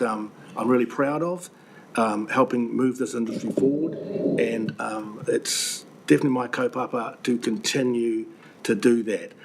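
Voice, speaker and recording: An older man with a New Zealand accent, croaky from the flu, presenting earnestly and indignantly to a council committee with many ums and restarts. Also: medium pitch, rough recording. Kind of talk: public submission to a committee. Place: council meeting room. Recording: webcast with faint room noise.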